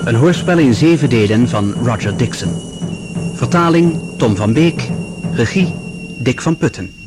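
A man's voice: medium pitch, animated, unhurried. A man announcing the titles of a radio serial in a scripted style. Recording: loud music behind.

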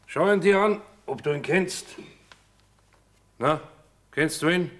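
An actor playing a cold, officious army sergeant, probing and commanding, in a stage drama style. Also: male voice, fairly high pitch, takes it slowly, fairly bright tone. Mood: coldly probing.

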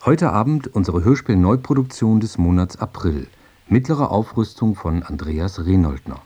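A man hosting a radio programme, giving a scripted introduction in a measured, formal manner. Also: sits low, expressive, warm tone, breathy edge. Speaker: radio host, announcer.

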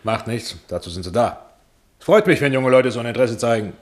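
A man's voice: mid-range voice, expressive, fairly quick, close to an everyday voice. Pompous film studio boss, acted by a man. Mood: self-satisfied, patronizing. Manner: pompous, patronizing, self-satisfied. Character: self-important, jovial middle-aged male film studio boss. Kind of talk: radio drama acting.

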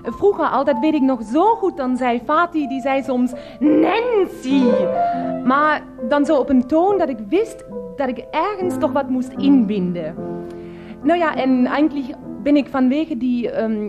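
A woman playing a naive, sweet young mistress in a radio play, halting, meek and earnest. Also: high-pitched, dull audio.

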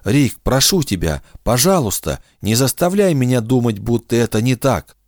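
A man's voice: mid-range voice, very animated, moderate pace, darkish tone, no room sound, clean studio sound, pitched above the performer's own voice, lightly acted. A man doing an earnest young woman, lightly raised. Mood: earnest, pleading.

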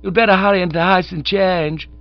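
A man's voice: fairly even intonation, fast talker, no room sound, faint music behind. A man reading aloud while voicing a bossy, hearty young woman who patronisingly takes charge of people. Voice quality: booming laugh, managing, patronising. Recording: dull lo-fi recording.

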